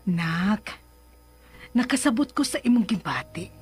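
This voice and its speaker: A woman playing a caring, coaxing middle-aged mother who reassures gently in a radio drama. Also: medium pitch, lively intonation, measured pace, breathy edge, faint music behind. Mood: reassuring.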